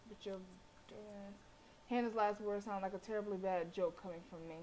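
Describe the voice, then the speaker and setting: A woman's voice: mid-range voice, steady tone, fairly quick, darkish tone. A sassy, colloquial woman reading and reacting aloud as she streams a game. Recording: faint background noise.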